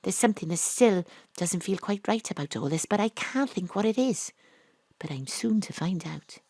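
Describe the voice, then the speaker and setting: A woman's voice: mid-range voice, lively intonation, normal speed, darkish tone, dry recording. A woman voicing a brash private eye who was once a Welsh rugby star, narrating her own noir story with a wry tone. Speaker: narrator and detective. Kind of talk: noir audio drama narration. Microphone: home studio mic.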